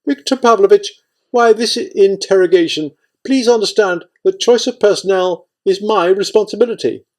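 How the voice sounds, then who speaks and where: A man's voice: a little high, big pitch swings, brisk, darkish tone, voice pushed up, full character voice. Man playing a patronising official, voice raised. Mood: affronted. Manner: smooth-talking, condescending, insistent. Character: male institute official, placating, patronising, quietly menacing. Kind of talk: audiobook character acting.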